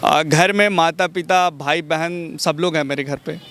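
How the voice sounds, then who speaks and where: A young man, giving polite, measured interview answers as a mimicry artist. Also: fairly high pitch, fairly even intonation, a bit bright, slight background noise. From Jharkhand, India. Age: young adult.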